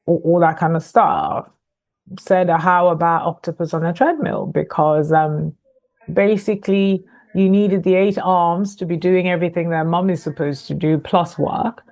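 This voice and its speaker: Middle-aged woman from Ghana, lower pitch, chatty podcast guest with easy laughs. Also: a little slow, darkish tone. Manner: chatty, laughs easily, many fillers and restarts. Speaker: guest, author and coach. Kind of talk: conversational podcast interview.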